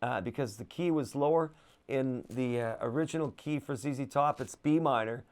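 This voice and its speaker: A man with a low voice giving a casual guitar lesson, relaxed and chatty, with plenty of fillers. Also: normal speed. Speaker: guitar teacher.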